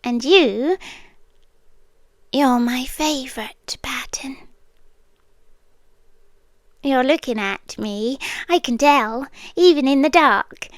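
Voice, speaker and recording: Woman acting a high, whispery British stalker girlfriend. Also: slow, pauses often, dry recording, clean studio sound. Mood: giddy, confessional. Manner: soft, breathy, hesitant, drifting into whispers. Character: obsessive yandere stalker girl, young, sweetly unsettling, lovestruck. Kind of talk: intimate ASMR roleplay. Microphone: close microphone, dry.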